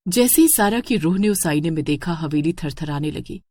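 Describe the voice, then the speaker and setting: A woman's voice: low voice, animated, moderate pace, darkish tone. A woman giving a dramatic scripted narration of a horror story.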